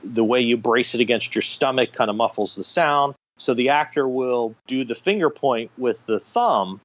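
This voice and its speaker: A man's voice: mid-range voice, animated, moderate pace, muffled recording. A man speaking as an interview guest, explaining things conversationally with illustrative anecdotes. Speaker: podcast guest, radio theatre director.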